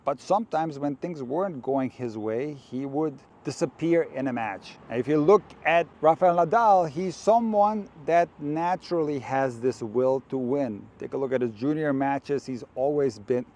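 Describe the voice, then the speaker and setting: A man from Croatia, a tennis coach, speaks in a mellow, relaxed tone at a middling pitch. He talks to camera in an earnest, direct, measured way. He is outdoors.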